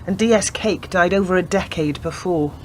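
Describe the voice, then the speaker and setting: A woman in a radio play acting a persistent, earnest police detective who lays out facts deliberately. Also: on the low side, darkish tone, a little hiss or hum, lightly acted, poor audio. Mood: factual, earnest.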